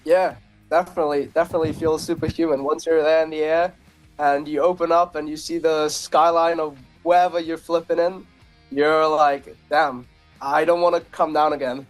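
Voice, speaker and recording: A man's voice: fairly high pitch, flat delivery, normal speed, faint music behind. Easygoing young man from Austria. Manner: easygoing, laughs often. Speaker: trampoline athlete guest. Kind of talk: casual interview answers.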